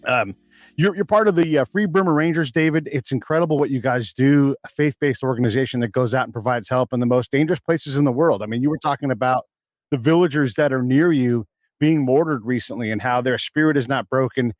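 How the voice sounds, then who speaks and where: A man hosts a casual podcast interview, chatty and joking, often restarting his sentences. His voice sits at an ordinary pitch, recorded dry with no room echo. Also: brisk. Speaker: podcast host.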